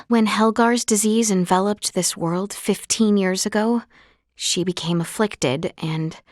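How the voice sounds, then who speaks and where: An actress playing an earnest, gracious young princess in a fantasy video game, with a clear youthful voice and formal, measured, archaic courtly phrasing. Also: female voice, lowish voice, no echo, studio quality. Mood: wistful, solemn. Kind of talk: scripted video game voice acting. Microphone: close studio mic, dry.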